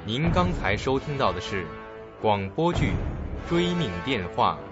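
A man's voice: mid-range voice, sing-song, a little slow. A man narrating a radio drama in a dramatic, scripted storytelling style. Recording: muffled dull recording with loud music.

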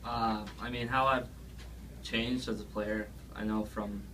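Young man, college player, casual interview answers, lowish pitch, faint noise. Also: flat delivery, measured pace, sharp tone. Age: early 20s. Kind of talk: post-game interview answers. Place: small press room, at a table. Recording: slightly noisy, distant microphone.

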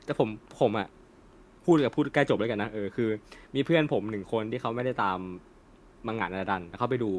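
A man's voice: on the low side, lively intonation, brisk. A young man in a casual, opinionated discussion as a co-host, talking colloquially with swearing and slang. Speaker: co-host of an anime discussion channel.

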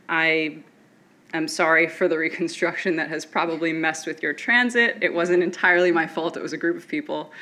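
A young woman telling a personal story from a podium on stage, earnest and steady. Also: sits low.

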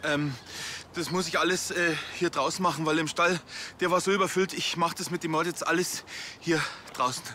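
Flustered policeman with bright, highish voice. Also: steady tone, moderate pace, slight background noise. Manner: hesitant, stammering. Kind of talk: TV comedy acting.

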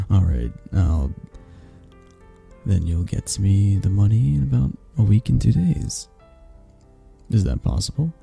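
A man playing a possessive, flirtatious mafia boss in a romantic audio roleplay, husky and gravelly, speaking with intimate condescension and long pauses. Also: on the low side, mellow, full character voice. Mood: smooth, businesslike. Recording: music behind the voice. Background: background music.

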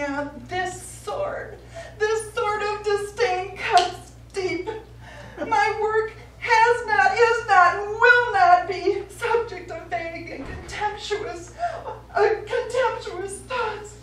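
A woman's voice: very high voice, fairly even intonation, slow pace, a bit bright, heavily acted. A middle-aged actress playing a pompous, easily wounded woman painter on stage, in florid, grandiloquent style. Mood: offended, wounded. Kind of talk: live stage comedy acting. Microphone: distant audience camera. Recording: echoey small theatre, distant mic, faint noise.

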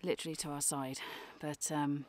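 A woman's voice: sits low, level tone, moderate pace, a little mellow. A woman in her thirties vlogging casually from a forest campsite, chatty and hesitant with many fillers. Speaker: outdoor vlogger. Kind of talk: casual camping vlog. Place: forest outdoors. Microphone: camera at arm's length.

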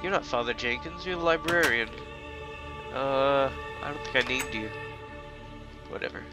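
A congested young man talking through a game on a headset mic, chatty and rambling, with sudden excited outbursts. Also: medium pitch, moderate pace, over loud music. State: congested from illness. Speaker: gaming channel host. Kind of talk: casual let's play commentary.

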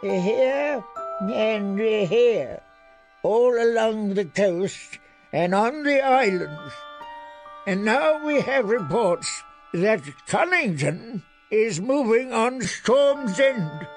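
A man's voice: high voice, expressive, very slow, faint music behind, voice pushed up, full character voice. A man voicing a frail, elderly scholarly adviser with a thin, strained voice in an audiobook. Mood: halting, reporting. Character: frail old grand maester, halting scholarly adviser. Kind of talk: audiobook character voice.

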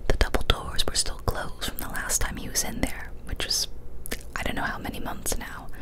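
Young woman doing asmr creepypasta narration, all soft breathy whisper, right up on the mic. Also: rough recording. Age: young adult. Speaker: ASMR storyteller. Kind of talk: ASMR whispered horror story reading. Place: small decorated room indoors. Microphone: large studio mic with foam cover, very close.

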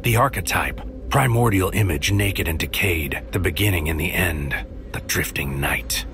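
An actor playing a brooding, tormented man in a dark poetic audio drama, speaking with grave, portentous delivery. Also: deep voice, moderate pace, background noise. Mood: bitter, dark. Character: brooding tormented man Maru, weighing judgment of mankind.

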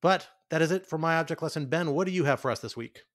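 A man's voice: on the high side, quick pace. A man co-hosting a podcast in a casual, conversational style. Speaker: podcast co-host.

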